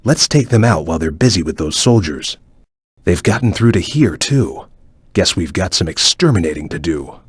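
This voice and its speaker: A man voicing a stern, watchful cartoon ninja guide in a video game, with a measured, formal, cautious way of advising. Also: low-pitched, animated, slightly rough, no echo, studio quality, exaggerated voice. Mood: watchful, serious. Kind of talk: video game voice acting, short hint lines.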